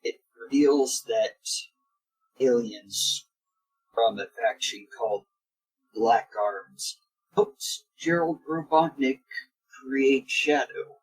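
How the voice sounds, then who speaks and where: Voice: medium pitch, slow, breathy edge, faint music behind, low-quality recording. A reader casually explaining and narrating fan fiction to a group of friends. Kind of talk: casual explaining and read-aloud.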